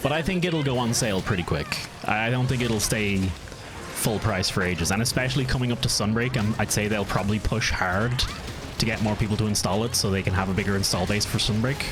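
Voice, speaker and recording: A man's voice: fairly low pitch, a little fast, music in the background. A man with a County Meath Irish accent, streaming a game and chatting casually with his viewers in an easygoing, rambling way. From Ireland. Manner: easygoing, rambling, answers chat, frequent restarts. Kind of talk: casual live-stream chat while gaming.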